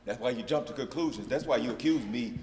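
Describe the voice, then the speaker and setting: A man's voice: mid-range voice, fast, a little mellow, slight background noise, lightly acted. An actor performing a stage monologue as a defensive working man, pleading passionately with his wife. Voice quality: impassioned, pleading. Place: performance room. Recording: echoey room.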